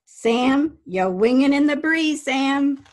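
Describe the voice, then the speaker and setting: A woman's voice: high-pitched, expressive, slow pace. A woman playing a tough, cagey juke joint owner in old-time radio crime drama, speaking defiant gangland slang. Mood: defensive, cagey. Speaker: amateur radio play actor. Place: at home, recorded over video call.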